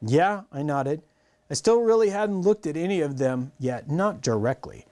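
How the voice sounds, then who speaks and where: Middle-aged man reading aloud, lively pitch. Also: normal speed. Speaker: reader of a children's novel. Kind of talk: read-aloud storytelling. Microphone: clip-on lapel mic.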